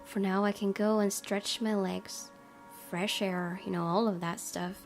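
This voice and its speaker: A woman game streamer reading a visual novel aloud as expressive narration. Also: medium pitch, a little slow, faint music behind.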